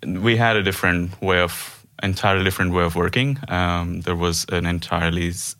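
A man's voice: deep voice, fairly quick, fairly bright tone. A man in his thirties from India, a developer guesting on a company podcast, speaking casually with many fillers and restarts. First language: not Norwegian; Indian language. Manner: hesitant, many fillers and restarts, laughs often. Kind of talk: casual interview chat.

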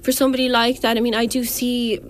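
A woman's voice: on the high side, flat delivery, fast. A woman speech therapist explaining as a radio guest, earnest and full of restarts and fillers.

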